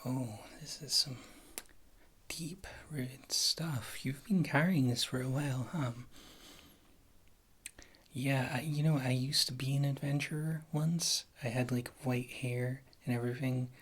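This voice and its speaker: A woman playing a wry witch healer in an ASMR fantasy roleplay, speaking softly and often slipping into a whisper, in a rambling, casual, reassuring way. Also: extremely low pitch, fairly even intonation, unhurried, breathy edge, exaggerated voice. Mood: soothing, focused. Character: wry, thrifty witch healer, a former adventurer.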